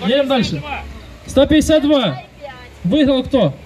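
A man in his thirties hosting a car audio contest outdoors on a handheld mic, loudly calling to the crowd and announcing results. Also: very high voice, big pitch swings, unhurried. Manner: loud and rousing, calling to the crowd. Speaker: event host.

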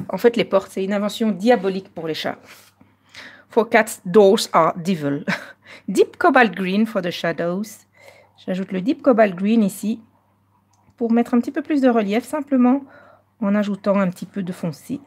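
A Belgian woman hosting a live coloring stream, chatting casually and moving between French and slightly halting English. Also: medium pitch, expressive.

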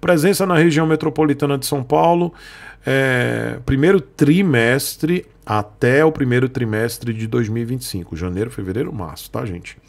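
Gravelly man explaining casually. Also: medium pitch, normal speed. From Northeast Brazil. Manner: chatty, explanatory, frequent "tá, gente?" asides. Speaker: motorcycle channel host. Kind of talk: casual market commentary. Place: small indoor room.

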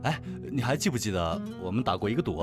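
A man's voice: on the low side, animated, quick pace, close to an everyday voice. A man playing a cocky, playful male student in an amateur audio drama, teasing as he talks. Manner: teasing, swings from murmurs to loud calls. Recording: music behind the voice.

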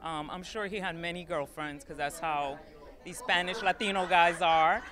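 A woman's voice: fairly low pitch, measured pace, fairly bright tone. A middle-aged woman, an actress, chatting casually as an interview guest on a handheld microphone. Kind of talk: casual red-carpet interview in English. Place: indoor event backdrop.

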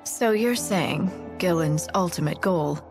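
A woman's voice: lowish voice, takes it slowly. A woman voicing a cool, self-assured female character in a video game cutscene. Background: music.

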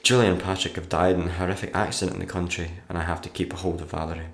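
A man acting in a radio play as a weary, morbidly deadpan struggling writer reading out his diary. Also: deep voice, racing, roomy sound. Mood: deadpan, gloomy. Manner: deadpan, dry.

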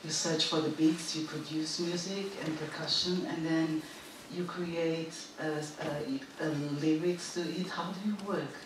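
A woman moderating a stage talk, hoarse with her voice nearly gone. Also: sits low, steady tone, a little slow, hardly any pauses, far from the mic, background noise. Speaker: host and moderator. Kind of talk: stage conversation. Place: large theater stage with live audience. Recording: echoey stage, picked up from afar.

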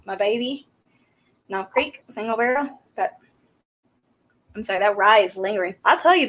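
A woman in her thirties from South Carolina, hosting a casual whiskey tasting livestream from a small room at home, rambling and chatty with lots of fillers. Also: mid-range voice. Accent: Southern American. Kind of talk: casual live-stream chat. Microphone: desk microphone on a boom arm.

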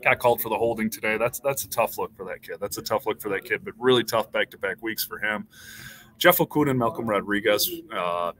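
A man's voice: fairly low pitch, brisk, fairly bright tone. A man in his thirties, a sports beat reporter, talking casually on a postgame live stream over a headset, rattling off stats with frequent restarts. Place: small indoor room.

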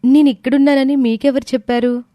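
A woman's voice: sits high, fairly even intonation, a little fast, noisy background, close to an everyday voice. A woman playing a sorrowful, meek young wife with a plaintive manner in a story drama. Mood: subdued.

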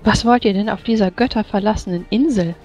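A woman's voice: medium pitch, fairly quick, darkish tone, natural voice. A woman playing a brusque, pragmatic member of an order in a tabletop roleplay, blunt and matter-of-fact. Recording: slightly dull voice-chat sound, music behind.

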